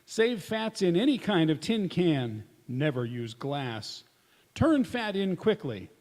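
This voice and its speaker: A man acts as an earnest announcer in 1940s radio style. His voice is notably high. It carries with long hall reverb. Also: big pitch swings, slow. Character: earnest wartime radio announcer pitching public appeal.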